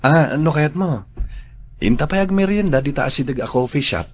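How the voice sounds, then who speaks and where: A man acting a shy, earnest young office worker in love with a coworker, soft-spoken, in a radio drama. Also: a little high, expressive, moderate pace.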